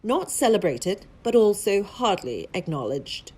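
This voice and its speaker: A woman news correspondent reporting from city streets in a measured, formal broadcast delivery. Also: medium pitch, big pitch swings, a little mellow, husky.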